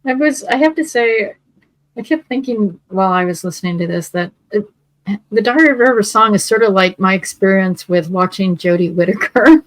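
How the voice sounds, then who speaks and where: A woman's voice: medium pitch, animated, brisk, dry recording, low-quality recording. Thoughtful woman with a dry voice. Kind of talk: casual review chat.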